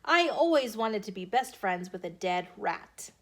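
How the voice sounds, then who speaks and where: Woman as friendly male yard teacher, American, storybook style, earnest, reassuring, lively swings in pitch. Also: a little slow. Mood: pleased.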